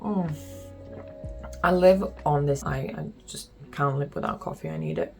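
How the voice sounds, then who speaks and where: Low-voiced Lithuanian woman vlogging casually. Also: measured pace, creaky, dark tone, soft background music. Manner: chatty, frequent ums and uhs, exasperated asides. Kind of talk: casual talking-head vlog.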